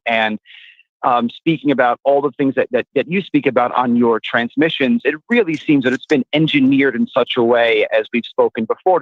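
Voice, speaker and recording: A man from Italy, a guest speaking in a measured, slightly halting way. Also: mid-range voice, no echo, narrow, tinny audio. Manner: measured, a bit halting, repeats words. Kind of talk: casual chat.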